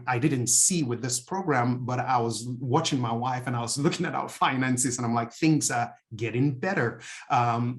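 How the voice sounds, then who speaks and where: Earnest Nigerian man, halting testimony. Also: medium pitch. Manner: earnest, halting with many fillers. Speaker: outreach pastor and health coach. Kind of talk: personal testimony.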